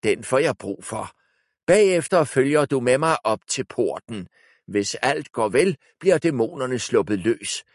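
A man's voice: mid-range voice, expressive, no echo, studio quality, voice pushed up, natural voice. A man voicing a scheming, power-hungry male sorcerer who gives orders with a snappish, commanding manner.

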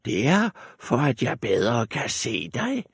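A man doing a hushed, scheming wolf. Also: mid-range voice, wildly swooping pitch, vocal fry, slightly rough, dry recording, clean studio sound, pitched above the performer's own voice, exaggerated voice. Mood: menacing. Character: sly hungry male wolf, soft-spoken villain.

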